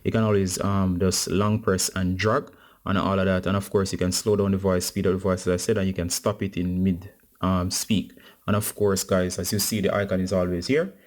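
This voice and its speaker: A man with a low-pitched voice hosts a tech tutorial. He talks viewers through each step in an informal, instructional way, as if chatting to a group of guys. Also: little pitch movement, fairly quick. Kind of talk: casual step-by-step phone tutorial.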